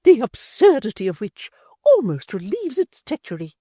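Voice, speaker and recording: In a dry, close studio read, a woman puts on a shrill, high, haughty lady who swoops wildly in pitch. She drags out her words with slow, theatrical scorn. The tone stays mellow under all the indignation. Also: wildly swooping pitch, dry recording, exaggerated voice. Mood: scornful. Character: haughty indignant middle-aged lady scolding her friend. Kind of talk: audiobook character voice.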